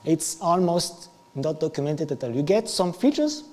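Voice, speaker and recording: A man's voice: on the high side, expressive, moderate pace, darkish tone. A man whose first language is French gives an improvised, casual technical talk at a meetup, self-deprecating and often restarting his sentences. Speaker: meetup speaker. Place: meetup venue, large hall. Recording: live stream with long hall reverb.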